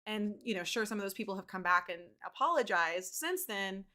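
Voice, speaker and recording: A woman's voice: mid-range voice, expressive, brisk, bright, forceful tone. A woman giving casual explanatory commentary like a teacher, emphatic and repeating words for stress. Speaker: teacher commenting on a video for students.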